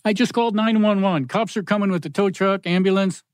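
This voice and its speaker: A man's voice: high-pitched, steady tone, fairly quick, clean tone, close to an everyday voice. A man playing a concerned, helpful truck driver at a table read. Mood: concerned, urgent.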